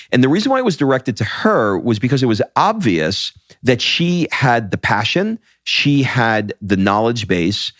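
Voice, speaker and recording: A man with a low, deep voice, co-hosting a podcast in casual chat. He tells stories with animated energy and often restarts his sentences. The close mic sounds dry, with no room around him. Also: no room sound. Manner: animated storyteller, frequent restarts. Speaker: podcast co-host.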